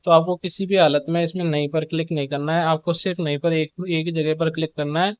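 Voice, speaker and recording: A man's voice: fairly high pitch, fairly even intonation, fast, clean tone, dry recording, dull audio. A man presenting updates in an informal, instructional explainer style, with repetitive phrasing. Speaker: video presenter giving show updates.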